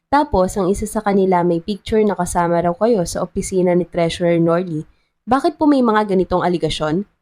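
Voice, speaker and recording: A woman's voice: fairly low pitch, a little fast, darkish tone, natural voice. Probing Filipino news reporter played by a woman. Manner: polite, pressing questions.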